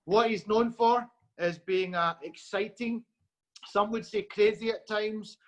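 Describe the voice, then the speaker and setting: A man from Scotland hosting an interview over a call, friendly and unhurried, with many fillers. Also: high voice, steady tone. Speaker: host.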